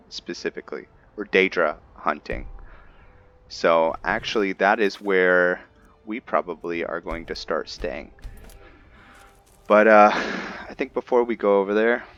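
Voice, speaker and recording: A man's voice: deep voice, a bit bright, highs cut off. A man giving casual gameplay commentary, thinking aloud hesitantly and chatting to his viewers. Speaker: host of a gameplay roleplay series. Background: background music.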